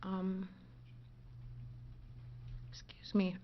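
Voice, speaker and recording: Woman, soft voice, nervous halting courtroom statement, slow, muffled audio. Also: mid-range voice, monotone. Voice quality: soft-spoken. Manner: hesitant, halting with fillers and restarts. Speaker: defendant giving closing argument. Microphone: courtroom microphone.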